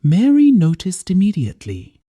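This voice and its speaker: A woman's voice: lowish voice, wildly swooping pitch, normal speed, warm tone, no room sound, studio quality. A woman storyteller reading a scripted folktale as a dramatic narration.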